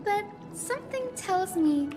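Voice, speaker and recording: A polite young lady from a video game. Her voice is high and gentle, with a tentative, deferential way of putting things. Music runs clearly underneath. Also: lively intonation, a little slow. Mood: hesitant, concerned.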